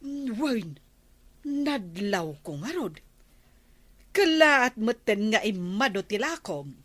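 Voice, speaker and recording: A woman's voice: a little high, very animated, slow, no echo, exaggerated voice. A woman playing a nosy, thrifty village captain's wife in an Ilocano comedy radio drama, conversational and questioning. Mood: chatty, haggling.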